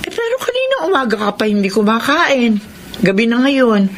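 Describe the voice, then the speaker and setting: Lilting, fretful older nanny. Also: female voice, fairly high pitch, big pitch swings, normal speed, slight background noise. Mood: coaxing, concerned. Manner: motherly, fretful, coaxing. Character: caring, anxious older household nanny and cook. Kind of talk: Tagalog radio drama acting.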